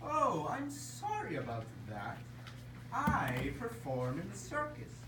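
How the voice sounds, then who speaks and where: Woman voicing a lively, earnest little dragon showman; animated pitch; distant, roomy, noisy. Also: takes it slowly. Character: little male circus dragon, eager showman. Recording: echoey room, far mic, noisy.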